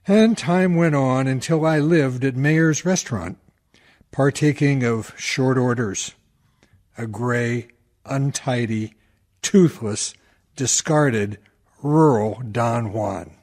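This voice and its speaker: Man as a slow, faded old womanizer. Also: mid-range voice, a little mellow, natural voice. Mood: rueful. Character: faded old small-town womanizer, wistful and bitter. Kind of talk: dramatic verse monologue for radio.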